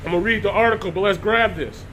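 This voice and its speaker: A high-pitched man preaching live to an online audience, his voice hoarse as he recovers from being sick. He keeps clearing his throat and breaks off to greet viewers by name. A faint background hiss sits under the stream. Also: quick pace, a bit bright. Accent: Texas.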